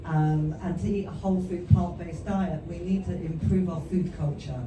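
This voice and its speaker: Lower-voiced woman in an echoey, noisy hall. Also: fairly even intonation, moderate pace. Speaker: panelist. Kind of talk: panel discussion, explanatory answer. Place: live panel event indoors. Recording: echoey noisy room, distant microphone.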